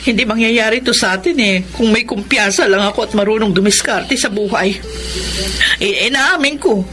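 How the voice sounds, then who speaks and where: A woman's voice: medium pitch, moderate pace, pushed and bright, faint music behind, close to an everyday voice. A woman acting in a radio drama as a distressed, reproachful mother, nagging and emphatic in manner. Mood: anguished, fearful. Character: distressed, reproachful mother of a family gone broke.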